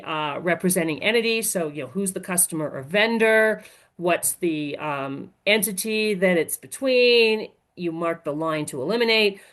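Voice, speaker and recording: Woman presenting an informal training webinar. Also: medium pitch.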